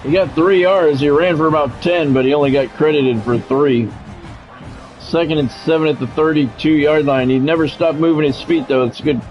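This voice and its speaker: Male sports commentator, voice a touch high, radio-style calls with offhand asides, lo-fi sound. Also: moderate pace. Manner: radio-announcer phrasing with casual asides. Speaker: streamer doing play-by-play. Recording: dull, lo-fi audio with music behind.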